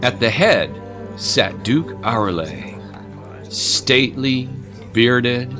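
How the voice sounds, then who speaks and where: A male narrator with a lowish voice and highly animated intonation tells a dramatic story. His delivery is measured and literary, with clearly audible music behind him.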